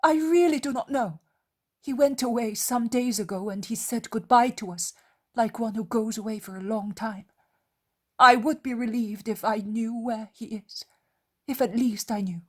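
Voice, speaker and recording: A timid faithful woman, hesitant and pleading, her voice lifted a little high. A woman voices her in a storybook. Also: moderate pace, lightly acted.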